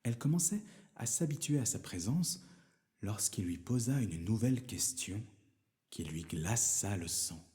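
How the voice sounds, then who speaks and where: Male storyteller for fairy tale narration: low, mellow, expressive delivery. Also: unhurried.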